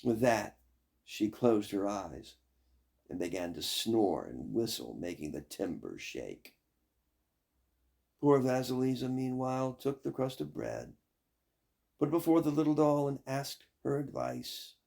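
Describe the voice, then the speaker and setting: An older man with a gravelly, raspy voice reading a fairy tale aloud in a measured, expressive storytelling manner. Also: medium pitch. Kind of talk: scripted fairy tale narration.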